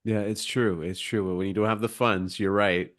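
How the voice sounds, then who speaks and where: A man's voice: on the low side, steady tone, normal speed, a bit bright. A man hosting a podcast, asking questions in a casual, curious, informal way. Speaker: podcast host, interviewer. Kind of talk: casual conversational interviewing.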